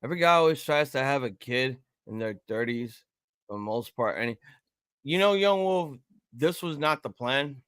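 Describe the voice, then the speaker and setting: A man in his thirties hosting a live-reaction stream, chatting casually and rambling with profanity and sudden loud excited outbursts. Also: medium pitch, moderate pace, clean tone, no echo, studio quality. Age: 30s. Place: home room at a table.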